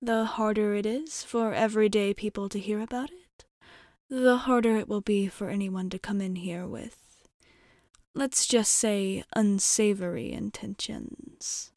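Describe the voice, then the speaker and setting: A woman playing a courteous, guarded vampire bartender in an ASMR roleplay, speaking in soft, measured, formal phrases. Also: medium pitch, no echo, studio quality. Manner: polite, measured, formal, often dropping into near-whisper.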